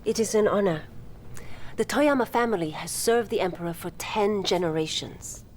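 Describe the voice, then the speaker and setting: An actress playing a poised, devoted military logistics officer in a video game cutscene, speaking in a formal, measured and deferential way. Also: female voice, medium pitch, slight background noise, lightly acted. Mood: formal, resolute. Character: poised, devoted female imperial logistics officer briefing commanders. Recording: loud music behind the voice.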